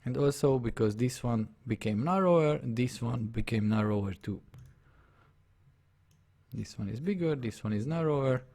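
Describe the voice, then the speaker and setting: Male tutor with a Hungarian accent, gravelly, middle pitch; patient step-by-step narration at a desktop mic. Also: animated, moderate pace, dark tone. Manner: narrates his on-screen steps, often checks the viewer follows. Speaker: drawing tutor. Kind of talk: live software drawing tutorial.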